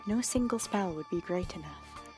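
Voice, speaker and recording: A woman's voice: lowish voice, lively intonation, moderate pace. Woman narrating a dramatic story over music.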